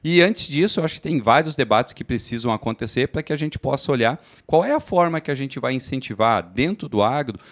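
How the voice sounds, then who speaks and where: A man, a credit cooperative executive giving steady, formal explanations in a studio interview at a fair. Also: medium pitch, fairly quick, hardly any pauses.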